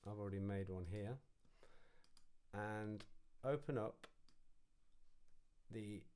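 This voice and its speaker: A man giving an informal, step-by-step music software tutorial, thinking aloud as he instructs. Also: mid-range voice.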